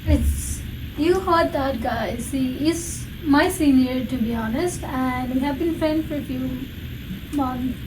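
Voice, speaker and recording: A woman's voice: high-pitched, slow. A young woman hosting a casual podcast interview, friendly and chatty as she asks her guest questions. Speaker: channel host. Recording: echoey room from a distance, noisy.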